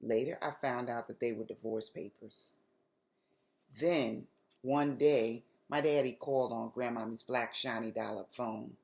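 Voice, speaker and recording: A woman with an American accent reading a children's story aloud expressively, with deliberate, dramatic phrasing. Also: a bass voice, steady tone, creaky, darkish tone.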